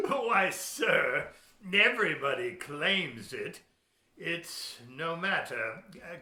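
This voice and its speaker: A man playing a gruff, shifty captain of an old sailing ship in a historical audio play. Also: fairly high pitch, bright, forceful tone, exaggerated voice. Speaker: amateur audio theatre actor. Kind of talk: historical radio play acting. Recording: roomy, echoing room sound.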